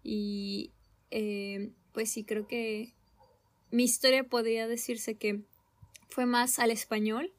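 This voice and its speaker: A young Mexican woman chatting in a relaxed conversation, her voice sitting a little high. She takes her time and pauses often with small hesitations as she thinks out loud. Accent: Mexican Spanish. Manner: slow, thoughtful, with many hesitations. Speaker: conversation partner. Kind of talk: casual conversation.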